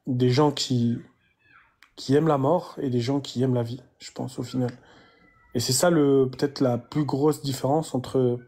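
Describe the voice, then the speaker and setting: Un homme d'une trentaine d'années, invité, qui parle de sa foi d'une voix hésitante, en cherchant ses mots et en répétant certains d'entre eux. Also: male voice, mid-range voice, dark tone. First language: Arabic. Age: 30s. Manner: hesitant, halting, repeats words. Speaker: guest. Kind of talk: emotional conversation about faith.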